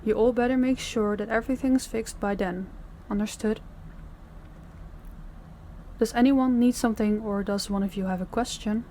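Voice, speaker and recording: A woman acting as a composed, authoritative female crime boss in an audio roleplay, speaking in a measured way. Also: fairly high pitch, dark tone, slight background noise, close to an everyday voice. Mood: businesslike, commanding.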